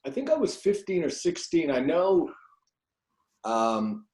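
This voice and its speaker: A man's voice: fairly high pitch, wildly swooping pitch, slow pace, darkish tone. A middle-aged man, reminiscing casually as a voice actor being interviewed. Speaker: guest, voice actor. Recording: echoey room sound.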